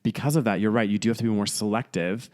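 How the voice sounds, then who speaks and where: A man's voice: fairly low pitch, steady tone, quick pace, a little mellow. A man in his thirties chatting casually and informally as a podcast co-host, talkative and peppering his sentences with "like". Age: 30s.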